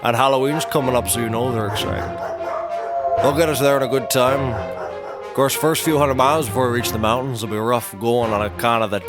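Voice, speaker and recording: A man playing a gleeful, boastful dog-sled driver in a comedy audio drama, talking excitably. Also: medium pitch, brisk, loud music behind the voice, natural voice.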